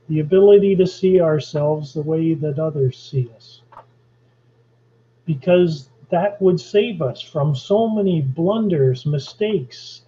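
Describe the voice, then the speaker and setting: Slow, low-toned man lecturing over a video call. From Canada. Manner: relaxed explaining, jokey asides. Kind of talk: online lecture on poetry and history. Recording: dull lo-fi video call audio.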